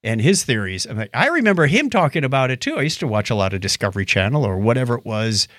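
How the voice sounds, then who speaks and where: A man's voice: medium pitch, quick pace, no room sound, clean studio sound. A middle-aged man hosting a casual podcast, chatting in a playful storytelling manner. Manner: animated, playful storyteller, acts out dialogue and hums. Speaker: podcast host. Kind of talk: casual co-hosted podcast chat.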